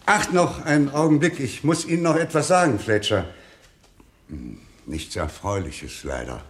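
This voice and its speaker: An actor playing a formal, smoothly polite company boss in a German radio crime comedy. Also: male voice, mid-range voice, normal speed, natural voice. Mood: awkwardly formal. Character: businessman boss, formal company partner dismissing an employee.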